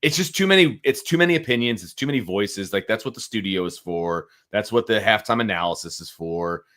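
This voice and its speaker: A man's voice: lowish voice, expressive, fairly quick, a bit bright. A middle-aged man giving casual, opinionated sports talk as a co-host, emphatically repeating his points. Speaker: sports show co-host, analyst.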